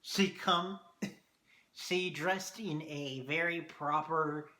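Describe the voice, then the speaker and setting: Hoarse, excitable man recapping a show. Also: fairly high pitch, extremely slow. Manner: excitable, swears often. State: hoarse, losing his voice. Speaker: TV review vlogger. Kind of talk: casual episode recap review.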